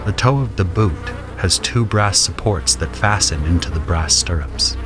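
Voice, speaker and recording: A grim conscript soldier telling his story in an audio drama. The voice is low and measured, solemn and watchful, with music running underneath. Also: animated. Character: grim, observant male conscript soldier narrating trench horrors. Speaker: narrator and soldier character. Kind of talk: first-person scripted audio drama narration.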